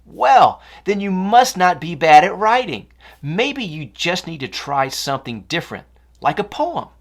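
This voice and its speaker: A woman voicing a kind, upbeat young schoolgirl in a children's story, speaking with animated encouragement. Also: on the low side, measured pace, voice pushed up, heavily acted. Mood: excited encouragement.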